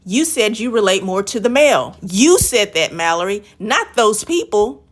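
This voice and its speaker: A woman's voice: mid-range voice, big pitch swings, normal speed. A woman hosting a reaction video, commenting on a clip in an emphatic, lecturing way and addressing the guest directly.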